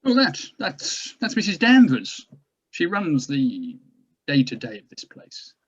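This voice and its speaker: A man playing a courteous, hesitant government doctor with gentle authority in a drama table read, on slightly dull sound. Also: a little high, wildly swooping pitch, breathy edge, heavily acted. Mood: hesitant, polite. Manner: halting, courteous, searching for words.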